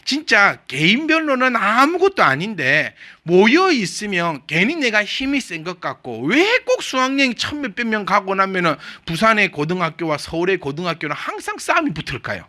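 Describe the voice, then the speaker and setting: A man with a Gyeongsang accent preaches in a conversational, joking way. His voice sits high and bright, and his pitch swings widely as he asks his listeners questions. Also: very animated, moderate pace, few pauses. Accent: Gyeongsang Korean. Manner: chatty and humorous, asks the congregation questions. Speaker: pastor. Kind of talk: conversational sermon.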